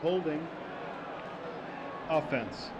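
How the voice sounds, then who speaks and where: A man's voice: on the high side, drawn-out words. A man as a football referee, making terse penalty announcements. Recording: noisy recording.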